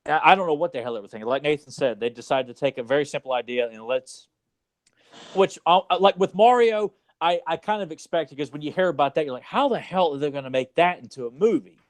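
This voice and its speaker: A man's voice: on the high side, lively intonation, fast talker. A man co-hosting a podcast, talking casually about movies in a rambling way full of asides. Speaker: podcast co-host.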